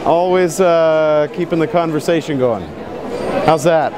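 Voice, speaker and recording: An overheated man answers a street interview question in a jovial way. His voice is fairly high, and a loud crowd surrounds him. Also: a little slow.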